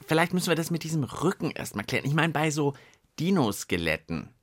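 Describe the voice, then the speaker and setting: A man hosting a children's podcast in a lively, playful, conversational chat. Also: fairly high pitch, brisk, no echo, clean studio sound. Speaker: podcast host.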